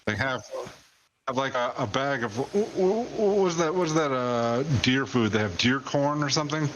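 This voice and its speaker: A man co-hosting a podcast in loose comedic chat. He speaks at a middle pitch and often halts, repeating words while he hunts for the next one. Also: lively intonation, a bit bright, a little hiss or hum, low-quality recording. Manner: halting, stumbling over words. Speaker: podcast co-host.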